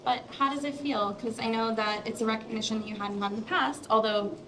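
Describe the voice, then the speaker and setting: A woman hosting a casual interview at a crowded awards reception, upbeat and quick to agree. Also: a little high, fairly bright tone, slight background noise, rough recording. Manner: upbeat, quick affirmations, laughs often. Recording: crowd chatter behind.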